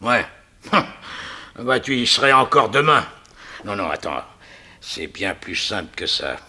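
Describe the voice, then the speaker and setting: Vintage radio gangster: slangy, cocky, bright, very lively intonation. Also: male voice, lowish voice, a little slow, exaggerated voice. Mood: smug, intent. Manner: slangy, offhand, animated. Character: cocky, chuckling, slangy crook. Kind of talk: 1960s French radio serial acting.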